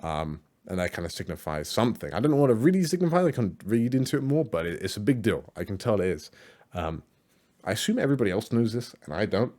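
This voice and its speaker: A man's voice: lowish voice, sing-song, fast, darkish tone. A man giving casual, rambling reaction commentary on other creators' videos, with many fillers and restarts.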